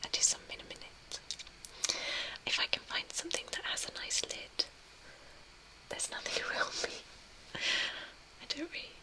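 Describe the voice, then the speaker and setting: A young woman whispering softly as she reads and answers a live chat, a little tearful. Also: moderate pace, pauses often, rough recording. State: tearful, recently crying. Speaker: ASMR streamer. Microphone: webcam mic.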